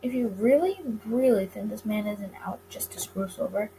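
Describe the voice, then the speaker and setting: A woman's voice: medium pitch, moderate pace, mellow, slight background noise, close to an everyday voice, low-quality recording. A woman voicing a weary, skeptical but affectionate male detective boss in a visual novel, dry and earnest. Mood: reluctant.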